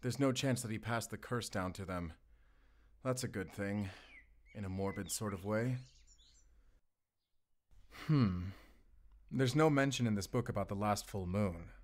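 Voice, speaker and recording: A low-pitched male wolf hunter, played by an actor in a cinematic audio roleplay. He is methodical and grim, with dry wit. His delivery is measured and formal, addressed straight to the listener. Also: darkish tone, natural voice. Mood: grim, businesslike. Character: male wolf hunter agent, calm, methodical, grimly wry.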